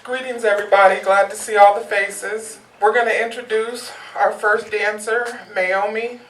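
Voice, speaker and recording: A woman's voice: medium pitch, steady tone, normal speed. A woman narrating a live stage show, giving scripted introductions to an audience. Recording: echoey, distant, noisy live recording.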